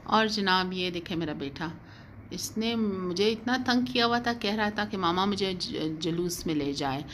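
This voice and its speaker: A woman's voice: medium pitch, expressive, a little slow, a bit bright. A middle-aged woman narrating a casual home vlog in a hesitant way, often repeating her phrases. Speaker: vlogger. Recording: clear background noise, home ambience.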